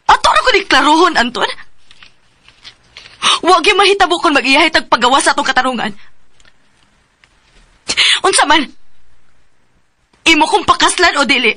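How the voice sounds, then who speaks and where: A distressed young girlfriend in a Filipino radio drama. Her Cebuano-accented voice is very high and bright, insistent and full of reproach. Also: animated, moderate pace, long pauses. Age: young adult. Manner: pressing, reproachful.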